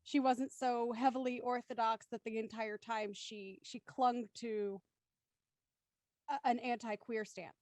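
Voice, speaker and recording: A woman's voice: on the high side, fairly even intonation, unhurried, a little mellow, no room sound. A woman hosting a conversational discussion, thinking aloud as she works her way into questions. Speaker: host moderating a post-show discussion.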